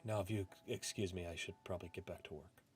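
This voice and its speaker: Man reading as a subdued, low-voiced brooding office worker. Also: steady tone, fast, natural voice. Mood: withdrawn, quiet. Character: reserved, brooding young office worker narrating his story. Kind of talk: storybook-like visual novel narration. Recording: music playing behind the voice.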